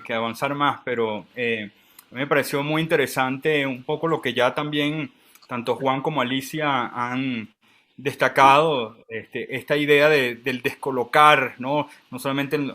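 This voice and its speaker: A man, a literary scholar on an online panel, asking questions in a halting academic way with many fillers and tag questions. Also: mid-range voice, pushed and bright.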